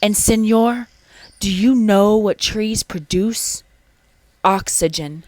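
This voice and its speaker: A woman's voice: medium pitch, slow, darkish tone, no room sound, voice pushed up, lightly acted. A woman voicing a knowing storybook porcupine, questioning and cautioning with emphasis. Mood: emphatic.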